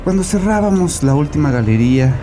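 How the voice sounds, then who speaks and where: An actor playing a haunted, penitent ex-convict in a dramatic horror monologue, brooding and theatrical. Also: male voice, fairly high pitch, animated, brisk, over music, lightly acted. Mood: bitter, grim. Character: haunted, penitent male ex-convict confessing from a bunker.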